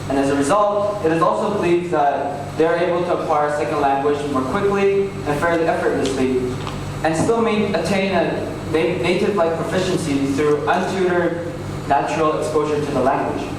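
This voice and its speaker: A man's voice: fairly high pitch, moderate pace. A young man from South Korea, a native Korean speaker, giving a rehearsed student presentation to an audience. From Seoul, South Korea. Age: teens or young adult. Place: school event hall with audience. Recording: echoey hall, distant mic, noisy.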